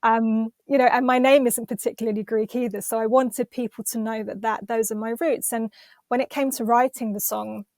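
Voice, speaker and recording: A woman's voice: a little high, fairly even intonation, normal speed, a little mellow, dry recording. A woman with an English accent, a singer chatting as a podcast guest in an enthusiastic, rambling way with many small restarts. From London, England. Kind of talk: casual interview chat.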